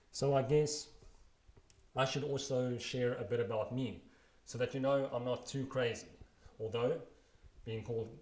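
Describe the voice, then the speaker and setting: A man in his thirties with a South African accent narrates a scripted personal essay. His delivery is measured and earnest with a wry edge, his pitch sits mid-range, and the room adds noticeable echo. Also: darkish tone. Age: 30s. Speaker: blogger reading his own post aloud.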